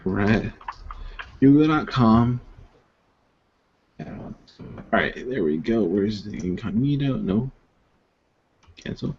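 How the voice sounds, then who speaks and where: A man's voice: lowish voice, lively intonation, slow, long pauses, darkish tone. Guy co-hosting a goofy web show, rambly, lots of ums, muffled call audio with a little hiss. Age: adult father of two. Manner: rambling, playful, filler-heavy. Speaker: co-host. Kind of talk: casual joking web-show chat. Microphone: video call.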